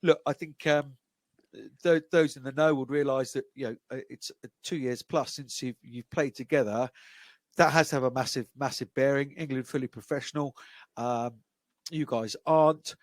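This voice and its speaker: A man's voice: mid-range voice, steady tone, normal speed, no room sound. A man hosting a rugby podcast, chatting casually and often repeating words as he looks for his next question. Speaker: podcast host. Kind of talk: casual interview.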